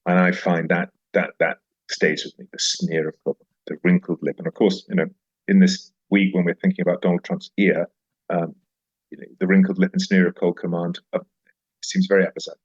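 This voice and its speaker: Deep-voiced man, smooth and resonant, slightly dull recording. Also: flat delivery, brisk. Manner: emphatic, repeats phrases for effect. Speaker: interview guest, journalist. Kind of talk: reflective interview conversation with recited verse.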